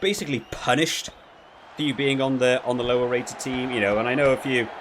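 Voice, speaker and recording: A man with a mid-range voice comments casually as he plays a video game. He thinks aloud in halting, repeated phrases and picks critically at what he sees. Faint noise sits behind his voice. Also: mid-range voice, steady tone. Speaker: gaming video creator reviewing while playing.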